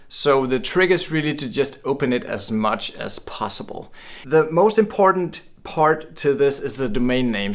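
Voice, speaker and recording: A man's voice: medium pitch, fairly bright tone. A man from Denmark giving a casual, upbeat explainer to camera, chatty and chuckling now and then. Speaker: blogging course creator.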